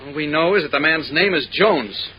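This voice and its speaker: A man playing a calm, purposeful hero in everyday guise in a 1940s radio serial, brisk, polite and matter-of-fact. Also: on the high side, natural voice. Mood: pondering. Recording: dull, faintly noisy 1940s radio recording.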